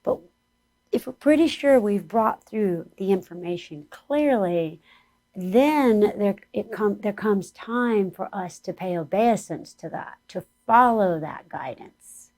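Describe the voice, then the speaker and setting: A woman with a hoarse voice leading a gentle, earnest guided spiritual exercise as a spiritual teacher. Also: mid-range voice, expressive, low-quality recording. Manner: slow, gentle and soothing guidance; earnest, speaks about Spirit and angels.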